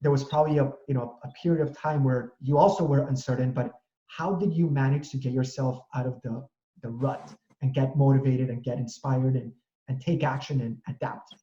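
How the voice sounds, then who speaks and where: A middle-aged male host leads a casual interview, his long questions winding through restarts and asides. His voice is mellow and dark, heard in a roomy, echoing space through dull, lo-fi audio. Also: mid-range voice, steady tone, a little fast. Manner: rambling, many restarts and fillers. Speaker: interview host, real estate broker. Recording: echoey room, dull audio.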